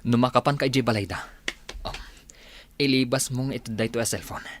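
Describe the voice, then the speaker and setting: A man's voice: mid-range voice, steady tone, fairly quick, a little hiss or hum, lightly acted. A man playing a practical confidant in a radio drama, giving directive, urgent advice. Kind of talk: Ilocano radio drama acting.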